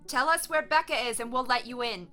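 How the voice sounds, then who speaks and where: A woman's voice: fairly high pitch, a little fast, sharp tone, soft background music. An actress playing a wary, combative young woman in a naturalistic audio drama, blunt and challenging. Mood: probing. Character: Faith, wary combative young woman refusing to open the door.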